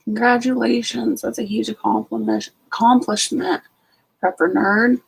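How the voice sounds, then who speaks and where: A woman's voice: a little high, steady tone, moderate pace, creaky, breathy edge, low-quality recording. A sleepy woman hosting a late-night casual live stream from home, greeting each viewer by name. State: sleepy, just out of bed. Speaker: homestead channel host.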